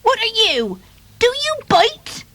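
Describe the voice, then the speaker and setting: Little duckling, high-pitched, excitable, timid yet cheeky, quacks, storybook. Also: female voice, slow pace, no echo, full character voice. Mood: startled, frightened. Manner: cheeping, quacking. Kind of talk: children's storybook character voice.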